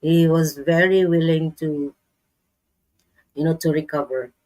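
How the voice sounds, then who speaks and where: A woman with a Filipino accent, chatting as a guest in a live-stream interview, laughing often and pausing to search for words. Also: sits low, steady tone, darkish tone. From Philippines.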